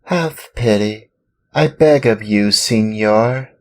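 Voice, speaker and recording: An earnest wooden marionette boy, voiced by a man reading aloud speaks in a voice pitched well above the reader's own. He pleads and insists in turn, small but brave. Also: slow. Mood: pleading. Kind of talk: storybook reading.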